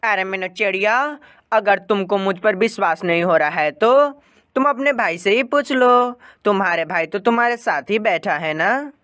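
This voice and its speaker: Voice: medium pitch, moderate pace, a bit bright, smooth, no echo, clean studio sound, natural voice. A narrator voicing a blunt, demanding male crow. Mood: blunt, threatening. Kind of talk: Hindi cartoon moral story dialogue.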